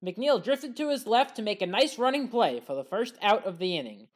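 A woman giving scripted play-by-play commentary over sports highlights as a voice-over. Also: animated, normal speed.

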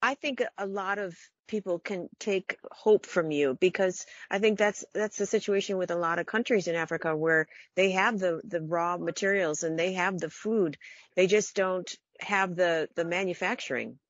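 Female news interviewer, low-pitched, dry and slightly dull audio, direct questions. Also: steady tone, normal speed, no room sound.

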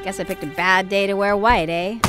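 A woman's voice: mid-range voice, steady tone, normal speed, over music, lightly acted. An actress playing a reasonable, good-humored mother in an audio drama. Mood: patient, wry.

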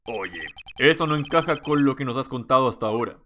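A man voicing a cool, cynical male prosecutor in a video game, measured and sardonic. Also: mid-range voice, expressive, bright, forceful tone, slight background noise, pitched below the performer's own voice.

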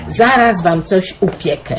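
A woman's voice: fairly low pitch, lively intonation, measured pace, noisy background. A woman playing a chatty motherly housekeeper. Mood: cheerful. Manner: homely, chatty, gently teasing. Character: motherly middle-aged woman keeping house. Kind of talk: radio drama acting.

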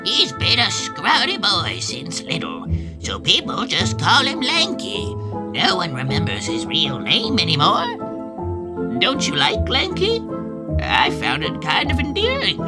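A performer voicing townsfolk in an animated storybook cartoon, with very animated intonation. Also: medium pitch, measured pace, loud music behind the voice. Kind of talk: cartoon voice acting.

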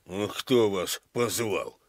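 Slow, pompous village elder. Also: male voice, lowish voice, no room sound. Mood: stern, questioning. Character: stern, self-important village elder, a man in authority. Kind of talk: Soviet radio play acting.

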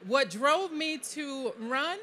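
High bright-voiced councilwoman on a panel. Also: expressive, takes it slowly. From Detroit. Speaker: city council member. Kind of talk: panel discussion answers. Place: conference stage, indoors. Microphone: handheld mic.

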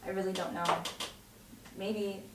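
A woman's voice: medium pitch, flat delivery, measured pace, natural voice. A young woman playing a wistful teenage girl at a drama table read. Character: Maya, wistful teenage girl before prom. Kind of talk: table-read acting, naturalistic dialogue. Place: home dining room, around a table. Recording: roomy, distant camera mic, loud noise.

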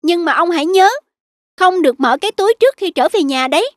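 A woman voicing a small, gentle sparrow in a children's fairy-tale cartoon, with a polite manner. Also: very high-pitched, animated, fast talker, dry recording, pitched above the performer's own voice. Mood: gracious. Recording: music behind the voice.

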